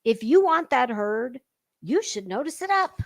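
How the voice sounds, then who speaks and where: A woman's voice: high voice, sing-song, unhurried, vocal fry. A woman hosting a gossip stream, giving a dramatic reading with sarcastic, mocking commentary in a theatrical, sassy way. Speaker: host of a gossip commentary livestream.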